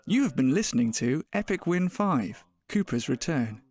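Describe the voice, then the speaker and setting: A man's voice: on the high side, sing-song, normal speed, very noisy. A man reading scripted end credits as the announcer of a drama podcast. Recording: faint music behind.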